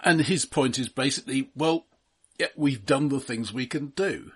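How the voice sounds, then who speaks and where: Husky man discussing films. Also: medium pitch, normal speed, fairly bright tone. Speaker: film podcast co-host. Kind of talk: casual analytical film discussion.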